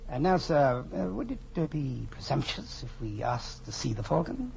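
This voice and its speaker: An actor playing a jovial, pompously polite but menacing crime boss in a 1940s radio drama. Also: male voice, mid-range voice, very animated, moderate pace, gravelly, darkish tone. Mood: smooth, explanatory. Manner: florid, pompous politeness with chuckling. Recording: muffled, noisy 1940s radio broadcast.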